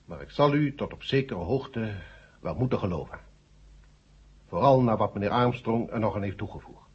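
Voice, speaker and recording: A man's voice: lowish voice, animated, normal speed, breathy edge. An actor playing a stern, indignant space agency chairman in a 1970 radio drama, speaking formally and pompously. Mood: grudging, resigned. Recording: dull, muffled old radio recording.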